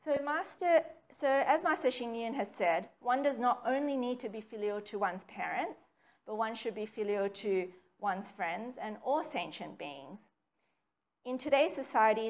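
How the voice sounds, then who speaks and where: A young woman reading a prepared talk in a measured way, recorded by a camera across a small meeting room. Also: medium pitch. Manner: measured, reads from notes with occasional ums. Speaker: speaker giving a dharma talk. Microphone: far camera, no mic seen.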